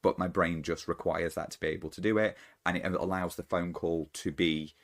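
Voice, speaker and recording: A man hosting his own channel, giving an unscripted solo talk to camera in a thoughtful, halting way, often restarting his sentences. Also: low-pitched, level tone. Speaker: channel host.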